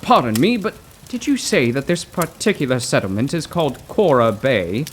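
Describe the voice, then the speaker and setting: An actor playing a polite, earnest, bewildered 1930s poetry professor, halting and formal, in an audio drama. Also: male voice, medium pitch, big pitch swings, a little hiss or hum, close to an everyday voice. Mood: grateful, bewildered. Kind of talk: audio drama acting in 1930s period setting.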